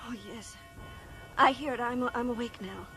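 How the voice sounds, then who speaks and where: High-pitched, anxious heroine on the run. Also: female voice, moderate pace, sharp tone, soft background music. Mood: drowsy, puzzled. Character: anxious, questioning woman fleeing eerie invaders. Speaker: actress in an audio drama. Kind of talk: science fiction radio drama acting.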